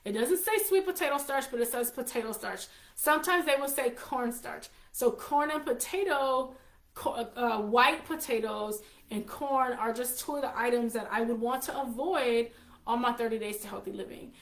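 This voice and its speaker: A woman's voice: a little high, normal speed. A woman in her forties hosting her own show in a casual, chatty way, congested with a slight cough and often repeating herself. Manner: chatty, repeats and restarts phrases. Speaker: host. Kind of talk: casual live-show chat. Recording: roomy echo.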